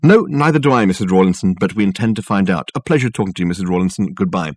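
A man's voice: low voice, expressive, very fast, no echo. A man voicing a testy, grandiose private detective with pompous, glib, fluent patter.

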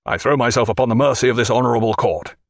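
A man's voice: medium pitch, fast talker, creaky, dry recording, studio quality. A man voicing a blunt, commanding, mischievous naval captain in an audiobook. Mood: mock confessing.

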